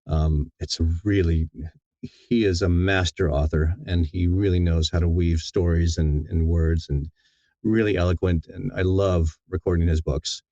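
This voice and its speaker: Deep, mellow man chatting in interview. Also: fairly even intonation, measured pace, no room sound, lo-fi sound. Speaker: audiobook narrator being interviewed. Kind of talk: casual interview chat.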